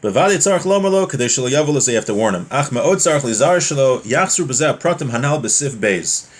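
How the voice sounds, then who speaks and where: A man's voice: mid-range voice, animated, fast. A man teaching a religious class informally, reading from a text and explaining it conversationally with everyday examples.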